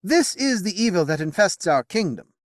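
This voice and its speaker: A man's voice: sits high, sing-song, moderate pace, no room sound, studio quality, voice pushed up. A man voicing a resolute young king who speaks firmly.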